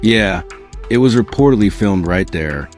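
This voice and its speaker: A man's voice: on the low side, expressive, unhurried. A man reading scripted gossip narration with dramatic, punchy storytelling. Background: background music.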